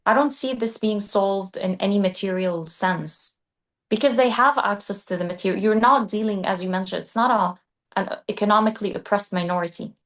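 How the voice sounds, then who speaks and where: Measured woman podcast co-host. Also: medium pitch. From Qatar. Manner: thoughtful, measured, restarts mid-phrase. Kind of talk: casual discussion.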